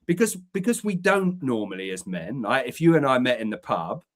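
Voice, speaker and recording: English man, animated pitch, emphatic, sweary, earnest podcast talk from home. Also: moderate pace. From England. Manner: emphatic, swears for emphasis, repeats words when pressing a point. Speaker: podcast co-host, men's community founder. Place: upstairs room at home by a window.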